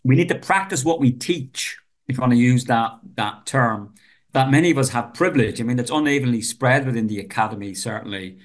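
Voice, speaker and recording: A man's voice: mid-range voice, a little fast. A man in his fifties with a Dublin Irish accent, a professor talking earnestly as a podcast guest and often repeating words as he starts a sentence. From Ireland. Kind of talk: podcast interview conversation.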